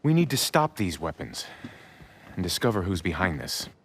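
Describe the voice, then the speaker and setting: An actor playing a dignified, commanding old monk leader in an animated adventure game, solemn and formal. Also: male voice, low voice, moderate pace, slight background noise, full character voice. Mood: grave. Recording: loud background music.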